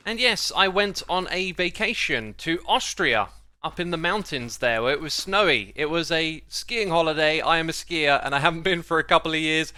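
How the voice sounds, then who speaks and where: Bright, clear man, higher-pitched. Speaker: gaming video host. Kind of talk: casual gameplay commentary and chat. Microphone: close microphone.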